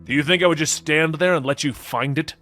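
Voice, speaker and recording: A man voicing a steadfast, reasonable superhero in a measured, confident way for a comic-book story. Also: a little high, bright, forceful tone, faint music behind.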